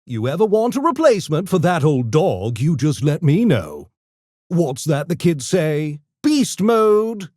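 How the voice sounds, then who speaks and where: High-pitched, wildly animated gremlin intellectual. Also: male voice, a little slow, no echo, clean studio sound. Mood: smug, taunting. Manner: posh, pompous, theatrical, mock-polite diction. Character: urbane genteel mischievous gremlin, a genetically engineered intellectual creature. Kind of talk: video game character voice lines.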